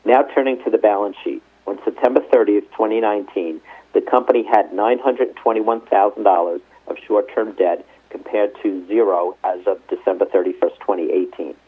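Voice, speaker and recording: A man's voice: lowish voice, normal speed, slightly rough. A man formally reading out a scripted financial report as a company executive, going through figures methodically. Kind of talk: scripted earnings report read aloud. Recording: muffled narrow phone conference line.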